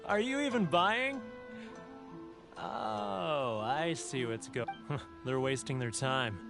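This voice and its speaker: High-pitched, haughty, greedy vendor. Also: male voice, takes it slowly, vocal fry. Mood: scornful, smug. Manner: haughty, dismissive, curt. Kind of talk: video game voice acting. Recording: game music behind the voice.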